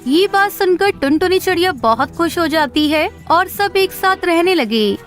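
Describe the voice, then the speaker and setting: A woman's voice: very high-pitched, expressive, normal speed, soft background music. A woman narrator reading a scripted story for children.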